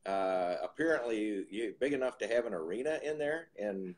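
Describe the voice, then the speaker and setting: Host in his fifties on a video call; low voice, chatty and rambling, with restarts. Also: expressive, brisk. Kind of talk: casual interview on a video call. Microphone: video call with earbud headset.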